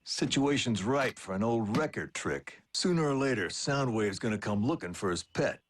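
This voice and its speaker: A man voicing a cocky, roguish, battle-hardened robot warrior in an animated series, with a wry, laconic tough-guy drawl. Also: mid-range voice, normal speed, natural voice. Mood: dry, scheming.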